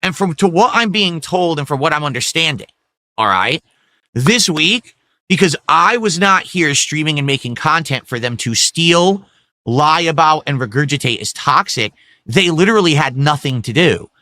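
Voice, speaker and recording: A male streamer talks to his chat in a defiant, rambling way, with plenty of swearing. His voice is bright and sharp and slightly high for a man. It sounds dry and close, with no room behind it. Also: expressive, moderate pace, no room sound. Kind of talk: livestream talk to chat.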